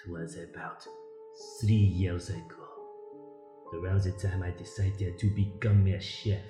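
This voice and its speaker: A man's voice: low voice, fairly even intonation, measured pace. A man playing a mournful, florid, theatrical chef in an improvised comic radio play. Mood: grave, wistful. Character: melodramatic aspiring French chef, mournful widowed man. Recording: roomy, faint music underneath.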